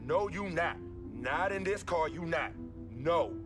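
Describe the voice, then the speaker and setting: Guarded young athlete, lively pitch, over music. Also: male voice, takes it slowly. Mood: stern. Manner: terse, streetwise, insistent. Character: guarded, defensive young basketball star with a guilty secret. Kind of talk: dramatic video game cutscene acting. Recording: loud music behind the voice.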